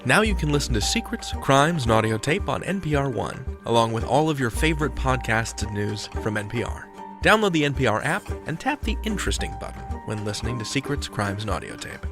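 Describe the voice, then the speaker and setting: A man hosting a drama podcast, reading a smooth, scripted narration and outro. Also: medium pitch, big pitch swings, fairly quick. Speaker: podcast host. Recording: music under the voice.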